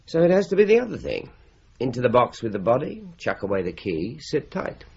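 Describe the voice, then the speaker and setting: An actor playing a steady, methodical police inspector, measured and confident, in a muffled old radio play. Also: male voice, mid-range voice, creaky, husky, heavily acted. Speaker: detective inspector. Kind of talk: 1950s-style radio drama acting. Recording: dull, muffled vintage radio recording.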